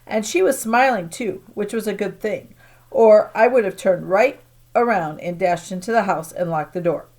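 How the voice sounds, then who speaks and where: A woman teacher reading a children's novel aloud to her class in a plain, steady narrating voice. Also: mid-range voice, normal speed.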